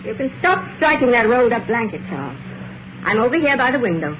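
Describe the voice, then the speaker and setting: An actress playing an eerily calm, wounded and quietly vengeful wife in an old radio thriller, measured and wistful. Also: female voice, fairly high pitch. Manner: measured, wistful, dramatic pauses. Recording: muffled, very noisy old radio recording.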